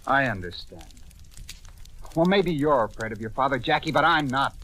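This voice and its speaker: A man's voice: mid-range voice, lively intonation, normal speed, a bit bright, slight background noise, lightly acted. A man playing a defiant, indignant young boyfriend in an old-time radio drama. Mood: frustrated, insistent. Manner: insistent, indignant.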